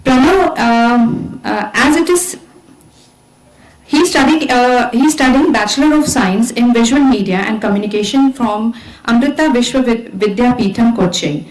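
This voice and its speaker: Female event host, Indian accent, formal introductions, higher pitch, strong room echo. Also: measured pace. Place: event hall stage. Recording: echoey hall PA sound.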